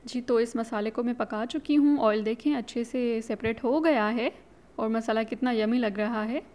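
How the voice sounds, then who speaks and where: A woman's voice: fairly high pitch, moderate pace, darkish tone. A woman hosting her own cooking channel, casually talking viewers through a recipe step by step and mixing English words into her speech. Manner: chatty, instructive, mixes in English words.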